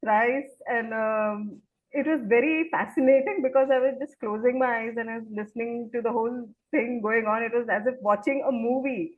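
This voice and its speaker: A woman's voice: a little high, normal speed. A woman hosting an interview in a conversational way, asking questions of her guest. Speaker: interview host. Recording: muffled, phone-like narrow band.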